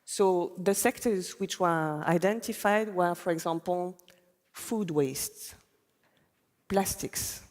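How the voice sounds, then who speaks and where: Lowish woman speaker in a reverberant hall. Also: a little mellow. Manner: measured explanatory speech. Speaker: policy official on a panel. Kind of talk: panel discussion on stage. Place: conference stage in a large hall.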